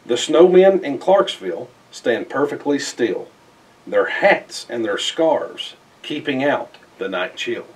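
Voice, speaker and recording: Slow, animated man reading a storybook, slightly distant. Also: medium pitch, husky. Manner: expressive, theatrical storytelling with emphatic phrasing. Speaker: storybook reader. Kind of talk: children's picture-book read-aloud in rhyme. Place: indoors in front of a festive backdrop.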